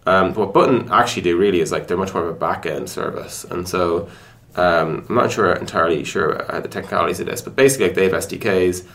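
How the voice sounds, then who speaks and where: A man's voice: deep voice, fast talker, a bit bright. A man explaining things conversationally in a casual podcast interview, feeling his way toward words as he goes. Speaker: tech product executive being interviewed.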